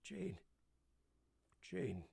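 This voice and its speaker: An actor playing a brooding, commanding gentleman master of a manor in an old-time radio drama, abrupt and imperious. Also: mid-range voice, very animated, very slow, creaky, breathy edge, exaggerated voice. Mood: shaken, pleading.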